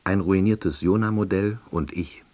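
An actor playing a wry, hapless middle-aged salesman, narrating his own story in an audio drama with a dry, deadpan delivery and husky voice. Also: deep voice, measured pace, background noise. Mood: wry, resigned. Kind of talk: scripted first-person narration.

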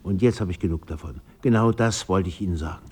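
An actor playing a restless literary man in a radio drama, polite and measured while he argues. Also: low voice, darkish tone, husky. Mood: uneasy.

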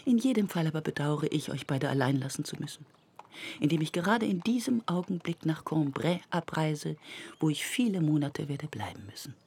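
An actress playing an anxious, disapproving middle-aged mother in a literary radio drama, speaking formally in a hushed, breathy voice. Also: sits low, lively intonation, normal speed, exaggerated voice. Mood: restrained reproach. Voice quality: husky, breathy. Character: disapproving, anxious, restrained mother of a grown son.

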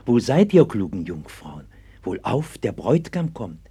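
Radio play pastor: gentle, devout, low and mellow, solemn preaching cadence. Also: male voice, sing-song, normal speed, husky, heavily acted. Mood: earnest, storytelling. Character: gentle, devout 16th-century pastor comforting a sick child. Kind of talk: 1960s German radio play acting.

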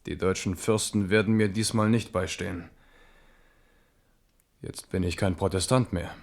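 A man's voice: deep voice, fairly even intonation, unhurried, creaky. A man acting a proud, embattled French king in a German radio drama, theatrical, moving between intimacy and command. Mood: urgent, grim. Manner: theatrical, shifting from hushed intimacy to forceful command.

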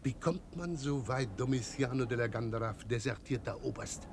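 An actor playing a defiant, impulsive Creole landowner leading a rebellion, speaking formally and resolutely in a German radio play. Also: male voice, medium pitch, normal speed, over music, natural voice. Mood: measured, challenging.

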